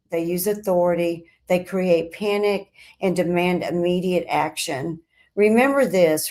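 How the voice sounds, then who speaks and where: A woman hosting a scripted explainer, speaking in deliberate, emphatic phrases with pauses. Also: on the low side, darkish tone. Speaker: host of a crime statistics show.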